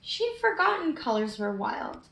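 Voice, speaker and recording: High-voiced woman reading a children's storybook, roomy sound. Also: big pitch swings, unhurried, creaky, fairly bright tone, slight background noise. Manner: prompts young listeners with questions. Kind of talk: expressive read-aloud for young children.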